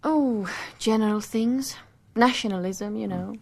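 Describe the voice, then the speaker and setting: An actress playing a policeman's gentle, candid artist wife in a radio drama, intimate and reflective as she recounts things. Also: a little high, measured pace, creaky, slight background noise.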